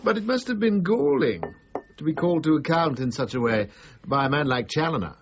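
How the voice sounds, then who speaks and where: An actor playing an urbane, gently teasing gentleman in a radio drama, courteous and wry. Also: on the high side, expressive, fairly quick. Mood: amused. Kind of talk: BBC radio drama acting. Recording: muffled radio broadcast with faint noise.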